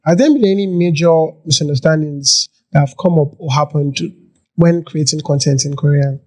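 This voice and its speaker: Higher-voiced male podcast host. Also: darkish tone. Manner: deliberate, careful framing of questions. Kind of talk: interview questions.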